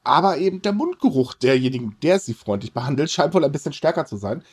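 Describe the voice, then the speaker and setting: Male podcast host, higher-pitched, casual and wordy news chat. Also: very animated, quick pace, husky.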